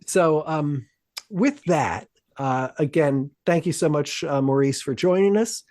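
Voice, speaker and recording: Hoarse-voiced man rambling as podcast host. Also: a little high, a little slow, a little mellow, no echo, studio quality. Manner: rambling and joking, many fillers and swearing. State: losing his voice from a cold. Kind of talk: casual podcast chat.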